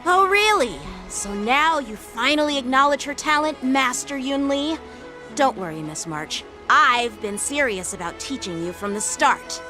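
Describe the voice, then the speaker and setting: Earnest, high-voiced young sword teacher. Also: female voice, sing-song, measured pace, pushed and bright, soft background music, heavily acted. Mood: polite, sincere. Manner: formal, earnest, instructive. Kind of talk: anime-style game voice acting.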